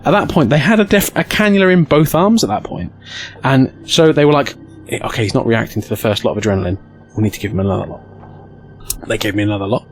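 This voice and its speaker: Animated British man telling comedic stories. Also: medium pitch, fast. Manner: acts out dialogue with excited outbursts; crude, self-mocking humour. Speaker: streamer telling stories to live chat. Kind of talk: animated casual comedic storytelling.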